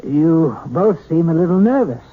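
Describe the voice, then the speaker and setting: Polite, husky-voiced doctor. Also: male voice, on the high side, lively intonation. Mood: calm. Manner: measured, courteous, hesitant fillers. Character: calm, polite male hypnotherapist doctor. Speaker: radio drama actor. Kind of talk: 1970s radio drama acting. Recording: tinny old radio broadcast.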